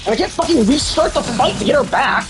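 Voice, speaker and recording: A woman's voice: sits high, animated, fast talker, music in the background. A woman doing live video game commentary, ranting in an exasperated, heavily sweary way.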